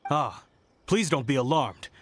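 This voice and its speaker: A man's voice: mid-range voice, lively intonation, measured pace. A man playing a courteous, dutiful guard in a video game, with a formal, reassuring manner. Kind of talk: video game character acting.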